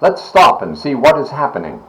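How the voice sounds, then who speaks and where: A concerned storybook farmer, read by a man, curious and emphatic. He calls out in a raised pitch with lively movement. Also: moderate pace, low-quality recording.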